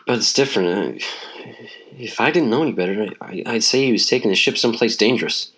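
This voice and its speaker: Low-voiced young sailor, played by a man. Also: expressive, fairly quick, fairly bright tone, slightly rough, heavily acted, rough recording. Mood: worried, secretive. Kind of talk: amateur audio drama dialogue.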